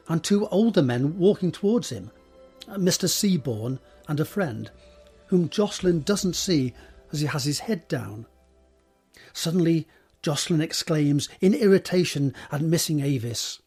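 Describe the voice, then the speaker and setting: A man's voice: fairly high pitch, expressive, unhurried, darkish tone, breathy edge, soft background music. A man narrating an audio drama from a script, describing the scene in the present tense.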